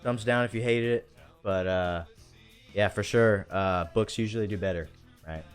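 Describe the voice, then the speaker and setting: A man's voice: fairly low pitch, normal speed, smooth, soft background music. A man hosting a casual live stream, talking in an informal, slangy and playful way. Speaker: host.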